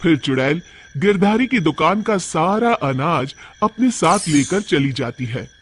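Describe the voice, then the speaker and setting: Animated male storyteller, highish voice. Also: a little slow. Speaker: story narrator. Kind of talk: dramatic scripted cartoon story narration. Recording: clearly noisy recording.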